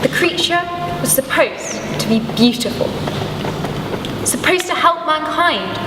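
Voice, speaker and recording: A young woman giving a deliberate, theatrical scripted narration, recorded from a distance in a noisy, echoey hall. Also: high voice, expressive. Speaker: pupil narrator. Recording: distant, echoey hall with loud background noise.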